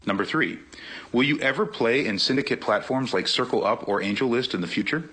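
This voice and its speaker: Man, guest reader, scripted questions, forceful bright tone, husky edge. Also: medium pitch, expressive, brisk. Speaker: guest reader, nonprofit executive. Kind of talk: reading questions aloud.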